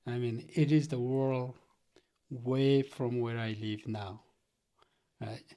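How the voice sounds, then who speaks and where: A man's voice: mid-range voice, fairly even intonation, takes it slowly, dark tone. A middle-aged man from Nepal, earnestly telling his story as a guest in a casual interview, chuckling often. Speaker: company founder guest.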